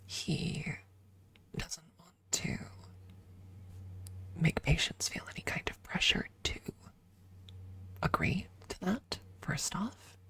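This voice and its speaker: A woman ASMR streamer speaking in a breathy up-close whisper, softly and deliberately telling casual stories to viewers right at the microphone. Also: on the low side, animated. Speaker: ASMR livestreamer.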